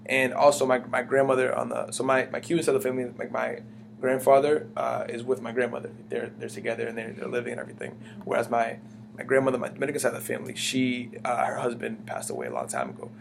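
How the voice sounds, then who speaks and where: A man's voice: medium pitch, fast, vocal fry. A young man from the United States, talking in a rambling, casual way as the interviewee, full of fillers and restarts. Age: young adult. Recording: humming background noise.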